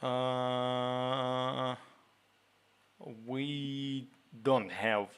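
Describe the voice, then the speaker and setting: Slow-talking man with a Ukrainian accent. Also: medium pitch, level tone, a bit bright, clear, resonant tone. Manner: reads viewer questions aloud, answers in halting English. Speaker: livestream host answering chat. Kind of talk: casual livestream Q&A.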